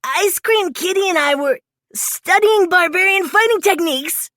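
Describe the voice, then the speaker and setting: A woman voicing an excitable, earnest, goofy young male turtle for a children's storybook. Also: extremely high pitch, normal speed, no room sound, studio quality, voice pushed up. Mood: sheepish.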